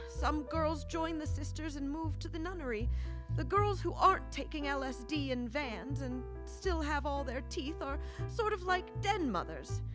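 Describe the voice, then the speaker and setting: A woman playing an earnest, flustered nun who runs an orphanage, explaining hesitantly in a comic radio play. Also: sits high.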